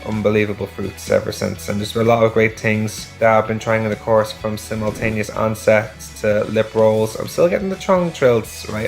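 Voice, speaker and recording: A Dublin man in his thirties, a rock singer giving an earnest testimonial about a singing course. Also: on the low side. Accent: Irish, Dublin. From Ireland. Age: 30s. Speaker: rock vocalist, course student.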